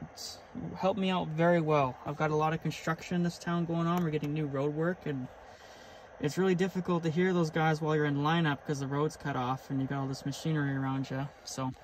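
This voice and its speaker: A man with a fairly high voice talks through his gear in a casual, informal vlog style. He sounds enthusiastic, like a hobbyist showing things to viewers. There is clear vehicle and ambient noise behind him as he records in and around a truck. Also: low-quality recording. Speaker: vlogger, CB radio hobbyist.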